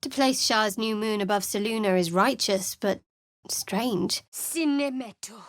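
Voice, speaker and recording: A woman's voice: mid-range voice, unhurried, no echo, lightly acted. An actress voicing a guarded, sardonic young female cleric in a video game, recorded close in a studio booth. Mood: dry. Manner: dry, cool and sardonic. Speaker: game character voice actor. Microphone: close studio microphone.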